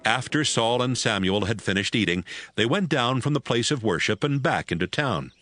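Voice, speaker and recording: A man narrating scripture from a script in a measured storytelling manner. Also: mid-range voice, expressive, fairly bright tone.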